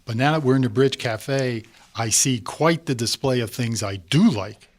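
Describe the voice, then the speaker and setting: A man acts out a jovial, food-loving day-tripper in a scripted radio play. He is chatty and playfully showy, easily pulled off the subject, with a middling male pitch. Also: moderate pace, natural voice. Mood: cheerful. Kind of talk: scripted community radio theatre acting.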